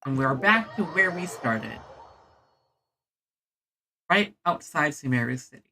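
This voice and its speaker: A woman's voice: low-pitched, expressive, takes it slowly, bright, forceful tone, slight background noise. A woman giving casual let's play commentary as a gaming streamer, reacting and thinking aloud as she goes. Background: game music.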